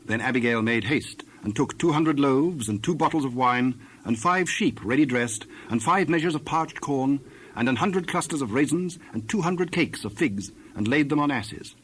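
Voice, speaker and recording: A man reads scripted scripture narration for an audio drama. His voice sits at a middle pitch. A faint bed of ambient noise runs behind him. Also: animated, normal speed, a bit bright, rough recording.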